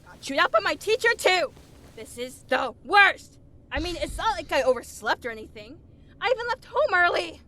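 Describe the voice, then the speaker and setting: A woman's voice: very high voice, very animated, moderate pace, sharp tone, exaggerated voice. A woman voicing a gloomy, exasperated teenage girl idol in an anime dub. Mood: frustrated.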